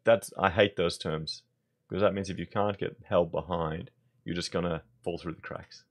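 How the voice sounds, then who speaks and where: An Australian man with a hoarse voice, giving blunt, conversational opinion commentary in his vlog. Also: deep voice, fairly even intonation, moderate pace. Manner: blunt, conversational, thinking aloud. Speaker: vlogger.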